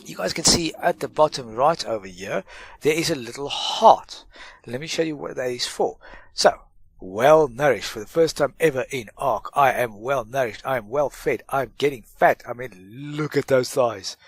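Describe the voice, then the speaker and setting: A man's voice: mid-range voice, animated, moderate pace, husky, no echo. A middle-aged man with a stuffy cold, hosting a casual gameplay tutorial and chatting through it with self-mocking asides. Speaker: gaming channel host.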